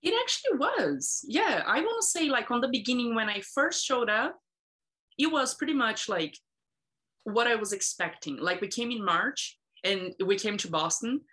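A woman's voice: on the high side, expressive, normal speed, a bit bright. A woman from Brazil in her thirties, chatting casually with many fillers and frequent laughs. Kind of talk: casual conversation.

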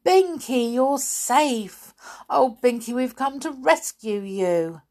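A woman's voice: high-pitched, slow, voice pushed up, exaggerated voice. A woman doing an anxious little girl. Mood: joyful. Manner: pleading, excited.

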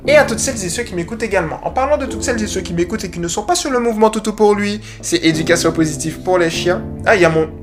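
A man's voice: high voice, racing, hardly any pauses, music in the background. A man with a slight Guadeloupean French accent hosting a dog-training podcast, giving chatty, enthusiastic advice and poking fun at himself.

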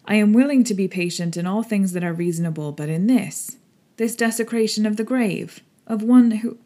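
A man's voice: sits high, normal speed, warm tone, natural voice. An indignant young lord, played by a woman narrator, proud and full of grief. He speaks with passionate dignity, in the manner of a dramatic audiobook. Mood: angry. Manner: impassioned, dignified. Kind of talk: audiobook character acting.

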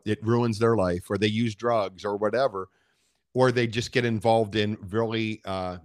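A man speaking as a podcast guest, explaining conversationally in a halting way, often rephrasing. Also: lowish voice, dry recording, studio quality.

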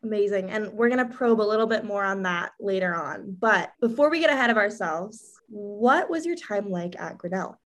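A woman hosting a podcast, moving between relaxed interview chat and scripted narration in a friendly, upbeat manner. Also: mid-range voice, moderate pace, hardly any pauses. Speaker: podcast host.

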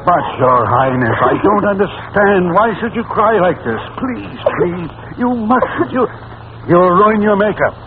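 Casting: excitable scheming court minister, high voice, vintage radio comedy, narrow crackly sound. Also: male voice, unhurried, over loud music, full character voice. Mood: flustered, insistent. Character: scheming, fussy male royal minister, excitable and insistent. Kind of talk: old-time radio comedy acting. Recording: noisy, narrow-band old radio recording.

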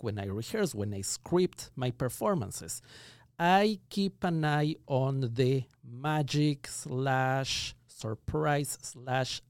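A man in his thirties speaks with an Ecuadorian Spanish accent, his voice sitting at an ordinary middle pitch. He talks thoughtfully, building each point in measured phrases. The sound is close and dry, with no room echo. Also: darkish tone. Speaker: guest magician. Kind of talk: reflective conversational interview.

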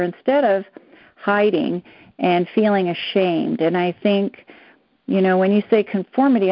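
A woman's voice: lowish voice, no echo. Earnest German-native woman psychotherapist. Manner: earnest, searching for words. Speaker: psychotherapist guest. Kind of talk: interview answers. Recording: dull dry lo-fi sound.

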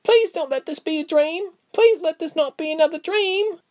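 A man's voice: very high voice, huge pitch swings, measured pace, husky, voice pushed up, full character voice. A man voicing an anxious, emotional girl who pleads, in a fantasy story reading. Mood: desperate, pleading. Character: Gilly, emotional high-voiced girl, clingy and anxious.

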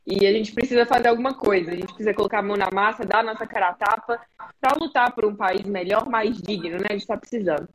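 A woman's voice: medium pitch, fast, breathy edge, poor audio. A woman in her twenties with a Belo Horizonte Mineiro accent, hosting a live-streamed interview and explaining her project in a friendly, fluent way. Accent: Mineiro Brazilian Portuguese. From Belo Horizonte, Brazil. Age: 20s. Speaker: host. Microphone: home setup, streamed live.

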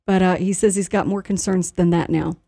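A woman's voice: lowish voice, fairly even intonation, brisk, creaky, dark tone. A woman hosting a radio news recap, introducing clips in a conversational way.

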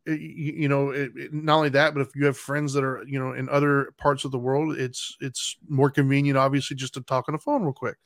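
A man's voice: medium pitch, little pitch movement, brisk, few pauses, no room sound, studio quality. A man talking thoughtfully in a casual podcast conversation, often searching for words with fillers and restarts. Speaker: podcast co-host.

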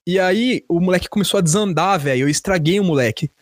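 Brazilian male podcaster, highish pitch, close dry sound, loose slangy storytelling with swearing. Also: quick pace, no room sound. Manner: slangy, foul-mouthed. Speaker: podcast host. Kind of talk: casual chat, animated storytelling.

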